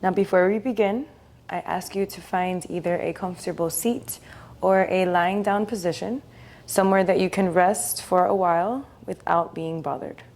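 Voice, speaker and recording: A woman leading a guided meditation in a soothing, gentle, unhurried way, leaving long pauses between phrases. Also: fairly low pitch, fairly even intonation.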